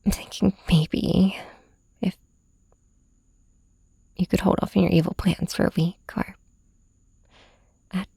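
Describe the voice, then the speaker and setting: Casting: actress as weary, wounded heroine for ASMR audio drama; low, very husky female voice, halting delivery. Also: no echo, studio quality, heavily acted. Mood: pleading, reluctant. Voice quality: breathy, whispery. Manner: hesitant, halting, soft-spoken. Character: heartbroken, weary young woman hero confiding in her nemesis.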